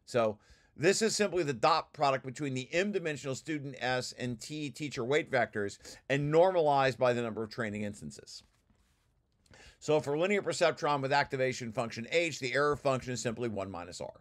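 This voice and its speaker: A man's voice: medium pitch, animated. A man giving an informal technical walkthrough, explaining as he reads and often stopping to correct himself. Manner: halting, self-correcting, explanatory. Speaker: presenter reading through own technical paper.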